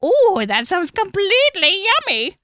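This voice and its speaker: A woman voicing a greedy, hungry male troll from a storybook with a drawn-out, sing-song menace. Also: very high voice, wildly swooping pitch, fairly bright tone, no echo, clean studio sound, pitched above the performer's own voice, heavily acted. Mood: eager.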